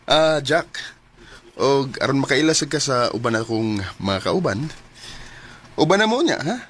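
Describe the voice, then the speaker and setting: A man's voice: mid-range voice, animated, measured pace, a bit bright. A man with a Cebuano Filipino accent playing a friendly, forward office colleague in a radio drama. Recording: noisy radio drama track.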